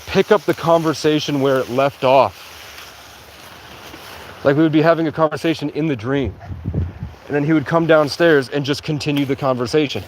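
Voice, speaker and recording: A sleep-deprived man livestreaming outdoors in a rural area, rambling in a halting way with many restarts. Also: mid-range voice, slight background noise. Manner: halting, restarts often, heavy use of "like". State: sleep-deprived after hours of talking. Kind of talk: rambling casual monologue.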